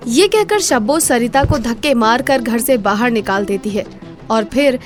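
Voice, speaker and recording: A woman's voice: a little high, expressive, normal speed, soft background music. A woman narrating a scripted moral story as the storyteller.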